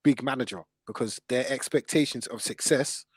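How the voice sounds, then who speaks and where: A man's voice: medium pitch, moderate pace, husky, no room sound. Man, London accent, close dry sound, podcast host, casual football debate, emphatic, repetitive phrasing. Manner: emphatic, repeats phrases to drive points home.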